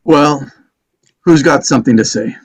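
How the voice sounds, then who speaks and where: A man's voice: fairly high pitch, normal speed, breathy edge, no room sound. A man playing an orderly, matter-of-fact jury foreman in a table read of a stage drama.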